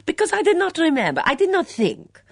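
A woman voicing an excitable foreign cook who speaks broken English, emphatic and repeating her words. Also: low voice, takes it slowly, no room sound, studio quality, pitched above the performer's own voice, full character voice. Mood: accusing.

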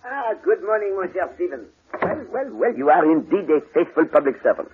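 An actor playing a courteous, shrewd detective with a French accent and a theatrical, inquisitive manner, in old radio drama style. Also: male voice, high voice, very animated, a little fast, phone-quality sound, over music. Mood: courteous, probing. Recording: thin, band-limited old radio recording.

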